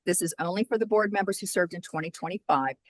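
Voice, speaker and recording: A woman chairing a formal board meeting over a video call, speaking in a measured, procedural way. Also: lowish voice, no echo.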